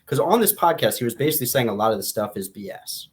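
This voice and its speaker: A man co-hosting a podcast, chatting casually about a book in an informal, halting way, often rephrasing his points. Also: mid-range voice, expressive, a little hiss or hum. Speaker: podcast co-host discussing a book.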